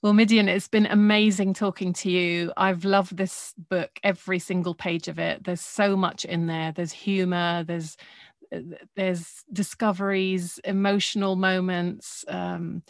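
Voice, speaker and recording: A woman hosting a podcast speaks in a lowish voice and chimes in eagerly. The recording is dry and close, with no room echo. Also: level tone, a little slow, vocal fry. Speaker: podcast host. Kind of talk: interview chat.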